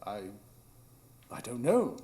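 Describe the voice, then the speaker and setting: An inquisitive detective acted by a man with a middle-pitched, highly varied delivery. He reasons aloud with determination, in live radio drama style. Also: big pitch swings, takes it slowly, mellow. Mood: probing. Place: improv theatre stage, live audience.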